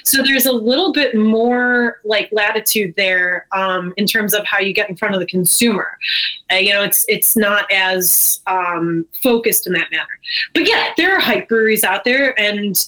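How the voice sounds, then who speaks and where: A woman's voice: mid-range voice, moderate pace, sharp tone, rough recording. A woman speaking as a guest in a casual interview, earnest and full of conversational hedges. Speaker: guest, brewery co-owner.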